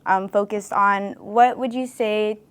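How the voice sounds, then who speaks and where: A woman's voice: medium pitch, steady tone, slow, fairly bright tone, smooth. Upbeat woman asking interview questions.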